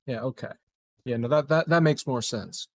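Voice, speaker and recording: A man playing a tabletop roleplaying game, chatting casually and repeating words haltingly as he plans. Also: mid-range voice, no echo, studio quality. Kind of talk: casual in-character game chat.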